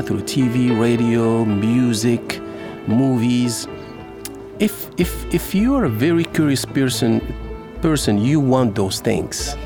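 A man's voice: mid-range voice, gravelly. A man in his fifties with a Moroccan accent, a native Arabic speaker, talking casually and playfully as he serves food. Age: 50s. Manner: energetic, joking, with playful asides. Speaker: chef and restaurant host being interviewed. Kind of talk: casual storytelling while serving food.

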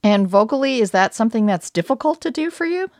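A woman's voice: a little high, expressive, fast, darkish tone, dry recording. Woman interviewer, dry close sound. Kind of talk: conversational, prepared interview questions.

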